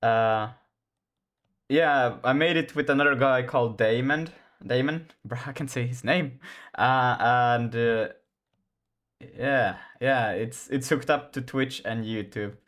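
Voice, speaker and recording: A man's voice: mid-range voice, takes it slowly, a bit bright. Young man, Finland-Swedish accent, native Swedish, loose rambling streamer delivery. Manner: rambling, restarts often, laughs a lot. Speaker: game developer streaming. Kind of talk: casual live-stream chat while coding.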